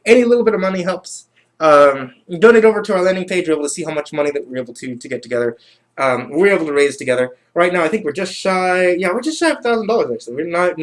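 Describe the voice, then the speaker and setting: A man hosting a livestream in casual chat, with a halting delivery that often doubles back. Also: high-pitched. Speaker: livestream host.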